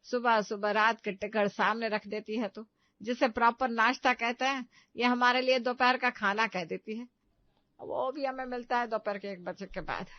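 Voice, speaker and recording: A woman's voice: on the high side, fairly even intonation, normal speed, no echo, muffled recording, lightly acted. A woman playing a proud, stubborn middle-aged mother-in-law who complains emphatically in a comic family drama. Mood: complaining. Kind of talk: Hindi comedy story dramatization.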